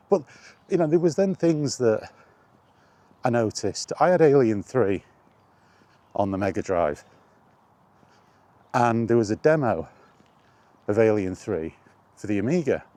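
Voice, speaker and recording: A man's voice: fairly low pitch, dark tone. A man talking in a casual, rambling monologue, reminiscing and thinking aloud as he goes. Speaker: host of a gaming chat vlog.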